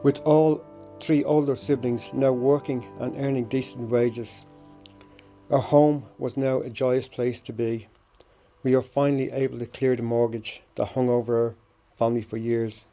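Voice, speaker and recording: A man in his sixties with a Dublin Irish accent gives a personal memorial tribute in a measured, reminiscing way. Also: medium pitch, little pitch movement, dark tone, soft background music. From Dublin, Ireland. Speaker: bereaved brother giving a tribute.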